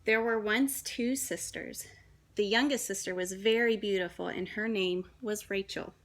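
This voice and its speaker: A woman, a young adult, reading a children's story aloud in a dramatic, deliberate way with shifts between emphasis and hush. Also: medium pitch. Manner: dramatic, deliberate phrasing with hushed and emphatic moments.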